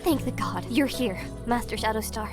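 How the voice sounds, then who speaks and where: Young woman ninja master, done by a man, raised female-range pitch, earnest, urgent, fantasy audiobook, music underneath. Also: normal speed, natural voice. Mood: relieved. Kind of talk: fantasy audiobook character acting.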